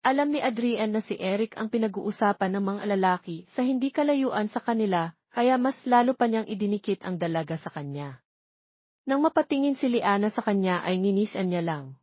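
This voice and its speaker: A female storyteller narrates romance fiction in a dramatic, scripted style. Her voice is a little husky, at a middle pitch, and the recording is somewhat dull. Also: animated, normal speed, dry recording.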